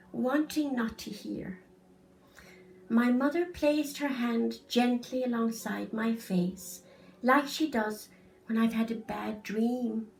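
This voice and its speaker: Woman, children's storyteller. High voice, unhurried, dramatic delivery. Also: slight background noise, poor audio. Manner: slow, dramatic reading with hushed and emphatic phrases. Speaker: story reader.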